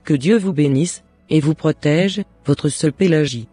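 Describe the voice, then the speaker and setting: Mellow-voiced woman giving a devout sign-off. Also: low-pitched, husky, faint music behind. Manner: devout, measured. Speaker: channel co-host. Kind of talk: scripted religious blessing and channel sign-off.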